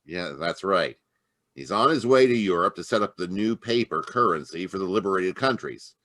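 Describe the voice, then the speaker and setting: A harried police inspector voiced by a man in an old-time radio mystery, blunt and agitated. His low voice has a forceful edge, and the sound is dry and close. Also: lively intonation, normal speed, no room sound. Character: harried middle-aged male police inspector. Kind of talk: 1940s radio acting.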